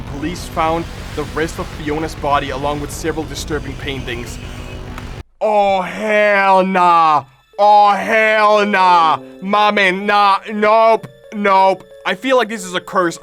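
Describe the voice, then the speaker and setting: A man with a high-pitched voice streams his reaction to horror videos. He reads aloud and comments in a dramatic way, repeating phrases over and over. Music plays clearly behind him. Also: slow, pushed and bright. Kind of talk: live reaction commentary, reading on-screen text aloud.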